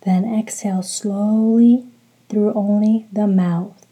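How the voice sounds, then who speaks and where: A woman leading a guided meditation in a soft, breathy voice, calm and deliberate, speaking in short soothing phrases. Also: mid-range voice, rough recording. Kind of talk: slow guided meditation with religious recitation.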